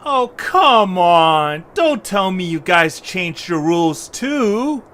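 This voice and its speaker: A man's voice: high-pitched, sing-song, slow, fairly bright tone, pitched above the performer's own voice, exaggerated voice. A man playing a kind, reluctant leader of small creatures, earnest and sympathetic, in a fan audio drama. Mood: exasperated.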